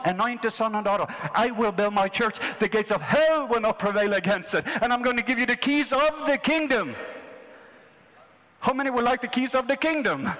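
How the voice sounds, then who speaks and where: A Norwegian man in his fifties preaching a sermon with excitable, repetitive call-and-response phrasing. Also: high voice, long reverb tail, low-quality recording. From Norway. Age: 50s. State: has a mild ear infection.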